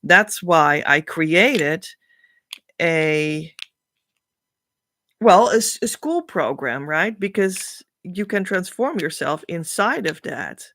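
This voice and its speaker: A woman with a Dutch accent, a spiritual coach thinking aloud as she reads meaning into letters, with many pauses and hesitations. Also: on the low side, expressive, a bit bright, no echo. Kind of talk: casual spiritual reading.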